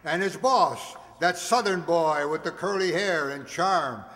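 A man in his seventies reading out cast credits on a stage in a deliberate, theatrical announcer's manner. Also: a little high, animated, bright, forceful tone. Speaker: announcer of a radio players group. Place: stage of a community hall. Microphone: stand microphone on stage, recorded by audience camera. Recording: echoey hall, audience noise, hiss.

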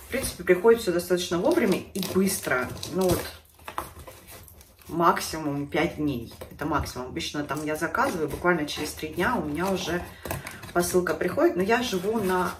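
A woman's voice: fairly low pitch, brisk, roomy sound, far from the mic, noisy background. Chatty woman with a stuffy nose. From Russia. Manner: chatty, conversational, shows products on camera. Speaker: beauty vlogger. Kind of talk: casual chat to camera. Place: living room at home.

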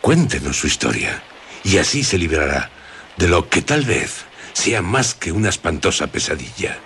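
Very deep, gravelly, ominous storyteller. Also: male voice, very animated, noisy background. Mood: insistent, coaxing. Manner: theatrical, deliberate, suspenseful pauses. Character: ominous, insistent male storyteller coaxing out dark secrets. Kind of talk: dramatic radio narration.

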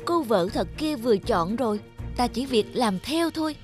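A woman voicing a scheming, covetous snake demon disguised as a young wife in an animated folktale. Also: on the high side, normal speed, lightly acted. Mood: scheming, calculating. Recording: music under the voice.

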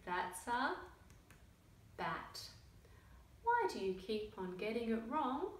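Storybook little monkey, played by a woman, upset and insistent, lively intonation, recorded at a distance from the mic. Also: sits high, exaggerated voice. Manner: emphatic, exasperated storybook delivery. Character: upset young monkey searching for its mum. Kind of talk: children's picture book read-aloud. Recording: roomy, distant mic, faint noise.

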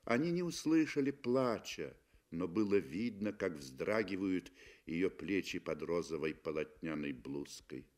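Slow, low-voiced male radio storyteller. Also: lively intonation, a little mellow. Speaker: narrator of a radio literary reading. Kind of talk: dramatic scripted narration, 1960s Soviet radio acting.

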